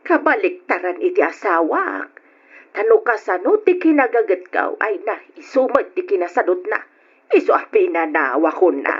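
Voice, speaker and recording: Raspy, animated middle-aged Ilocano mother. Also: lowish voice, normal speed. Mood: grumbling. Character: doting middle-aged mother, admiring, grumbles about husband. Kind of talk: old Ilocano radio drama acting. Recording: dull lo-fi radio recording.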